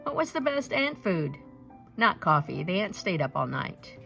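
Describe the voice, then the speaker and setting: Female picture-book reader, expressive and deliberate, with a lively pitch range over background music. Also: creaky. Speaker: storybook reader. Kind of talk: scripted children's picture-book read-aloud.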